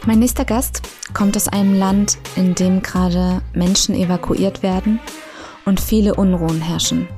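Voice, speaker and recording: A woman hosting an interview podcast, asking friendly questions and joking now and then. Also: mid-range voice. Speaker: podcast host. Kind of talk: casual interview.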